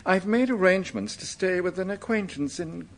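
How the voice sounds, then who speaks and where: An actor playing an evasive, easily flustered American manuscript collector in a radio drama, polite but defensive, sometimes stammering. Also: male voice, sits high, moderate pace.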